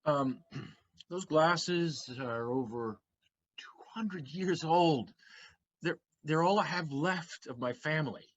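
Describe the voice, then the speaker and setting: A man's voice: a little high, slow, fairly bright tone, dull audio. A man playing a weary, defensive ex-husband in an audio play, with dry, exasperated retorts.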